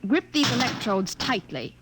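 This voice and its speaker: A woman's voice: a little high, very animated, normal speed, heavy background noise. A woman playing a cool, repressed science professor in 1950s radio drama, formal and detached in a clipped way. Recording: old 1950 radio broadcast recording.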